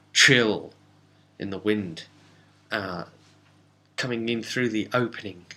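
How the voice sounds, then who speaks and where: A man speaking as a game master, giving a casual, rambling recap of a role-playing session with dramatic pauses and asides. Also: on the low side, bright, forceful tone. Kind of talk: casual spoken recap and storytelling.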